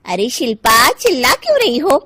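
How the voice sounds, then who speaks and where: Woman doing a calm polite neighbor lady, high voice, pretty lively. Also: moderate pace, breathy edge, no room sound, clean studio sound, exaggerated voice. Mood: pleading.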